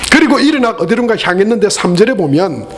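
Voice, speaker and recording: High-voiced pastor. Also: male voice, sing-song, fast. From Gyeongsang region, Korea. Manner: earnest preaching with joking asides to the congregation. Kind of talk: Sunday sermon. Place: church sanctuary.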